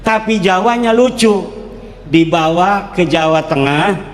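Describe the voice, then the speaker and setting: A male preacher with a high voice speaks informally in a big reverberant hall. He comes across as boastful, jokey and combative. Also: a little slow. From Banten, Indonesia. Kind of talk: informal public speech mixing regional languages. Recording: echoey hall with long reverb.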